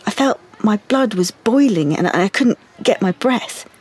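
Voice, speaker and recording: An actress playing an anxious, wounded young woman in an audio drama, confiding haltingly and stumbling over her words. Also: mid-range voice, expressive, darkish tone, slight background noise, lightly acted. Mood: shaken, recounting. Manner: halting, confiding, with stammered restarts. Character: anxious, wounded young woman artist, defensive about privacy. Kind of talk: audio drama monologue and dialogue.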